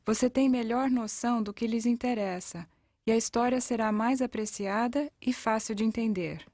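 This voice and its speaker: A woman's voice: a little high, normal speed, darkish tone, no echo, studio quality. A woman with a Brazilian accent reading a scripted narration for an educational video.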